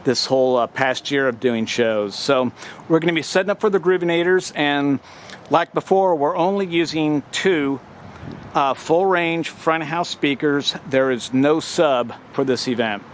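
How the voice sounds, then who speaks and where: A man who runs live sound, giving a plain, practical walkthrough in a casual vlog, pausing often with "uh". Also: fairly high pitch, roomy sound, a little hiss or hum. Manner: plain, practical, frequent "uh" pauses. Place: outdoors at a brewery gig.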